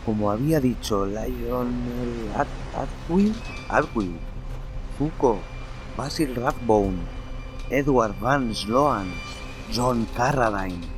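A man narrating a horror story in a measured, dramatic way, with lingering pauses. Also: medium pitch. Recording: narration over background music.

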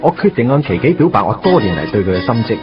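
An actor playing a shy, earnest teenage schoolboy in love, narrating and acting in a Hong Kong Cantonese radio drama. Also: male voice, medium pitch, very animated, fast, natural voice. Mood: nostalgic. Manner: hesitant, tongue-tied, earnest. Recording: dull lo-fi radio drama audio. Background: guitar music.

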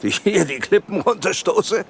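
A radio drama villain, older and haughty, with a creaky voice that swings widely in pitch. He is mocking and goading, over a faint rumbling noise. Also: lively intonation, moderate pace. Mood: scornful. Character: arrogant older man, scornful, taunting old friend.

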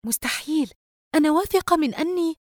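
A woman's voice: a little high, lively intonation, fast talker, a little mellow, dry recording, clean studio sound, voice pushed up, natural voice. A woman voicing an exasperated, hurt young working woman, indignant and pleading, in dramatised audiobook dialogue. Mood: astonished.

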